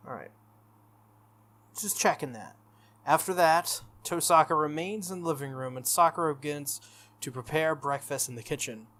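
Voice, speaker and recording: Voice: medium pitch, a bit bright, a little hiss or hum. A video game playthrough host reading a visual novel aloud and breaking off for casual commentary. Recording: music under the voice. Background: game music.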